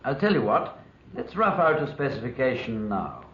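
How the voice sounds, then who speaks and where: A brisk, knowing British adviser in a 1950s film drama. The mid-pitched voice moves up and down freely. It rings in an echoey room on an old soundtrack. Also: male voice, sing-song, fairly bright tone, lo-fi sound, slight background noise. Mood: brisk, confident. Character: brisk, confident British male patent adviser. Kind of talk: 1950s docudrama acting.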